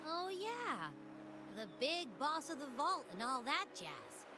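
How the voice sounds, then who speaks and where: A woman's voice: sits high, big pitch swings, slow pace, background noise, full character voice. An actress playing a small, perky, food-loving companion girl in a video game, talking in breezy, cheeky slang. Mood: bubbly, curious. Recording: background music under the voice.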